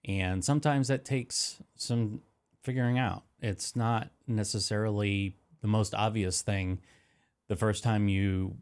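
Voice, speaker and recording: A man's voice: fairly low pitch, fairly even intonation, unhurried, clear, resonant tone. Nasal man demoing his streaming mic. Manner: rambling, self-correcting, with frequent fillers. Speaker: live streaming tech host. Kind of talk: casual gear demonstration. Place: home streaming studio. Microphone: close dynamic broadcast microphone.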